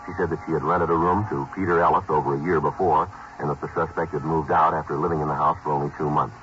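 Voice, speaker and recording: Deep clipped cop, fast and flat, old muffled radio sound. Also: male voice, soft background music. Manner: clipped, flat, matter-of-fact delivery. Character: terse, calm male police detective. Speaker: detective and narrator. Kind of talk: 1950s radio police drama.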